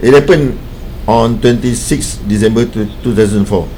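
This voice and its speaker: A man from Malaysia, president of a charity, answering a radio interview in halting English with many fillers. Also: lowish voice, darkish tone, a little hiss or hum, poor audio. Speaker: guest, NGO president.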